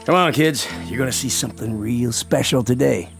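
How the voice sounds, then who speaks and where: A man's voice: mid-range voice, fairly quick, creaky, faint music behind, lightly acted. An American actor playing a callous, folksy, self-righteous frontier father in a Western radio drama. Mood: eager, excited. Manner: brash, folksy, defiant.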